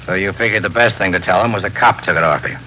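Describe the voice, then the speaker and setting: An American actor playing a dry, sardonic police detective in a 1950s radio drama. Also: male voice, deep voice, fast, pushed and bright. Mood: wry. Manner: wry, matter-of-fact. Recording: noisy 1950s radio recording.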